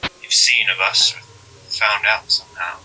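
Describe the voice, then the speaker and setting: A man playing an otherworldly trickster in a gentle dead husband's guise, folksy and hesitant with long pauses, in an audio drama. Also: on the low side, fairly even intonation, low-quality recording. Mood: searching, patient. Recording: faint background noise.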